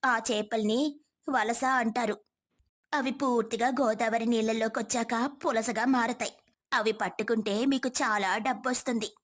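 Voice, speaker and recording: A woman's voice: fairly high pitch, fairly even intonation, moderate pace, close to an everyday voice. A woman voicing a kind, reassuring motherly village woman in a moral story. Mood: reassuring, advising.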